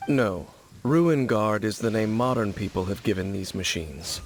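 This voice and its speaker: An actor playing a stoic, mysterious warrior guide in a video game, speaking gravely and formally. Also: male voice, animated, a little slow, slight background noise. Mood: explanatory, grave. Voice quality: low, gravelly.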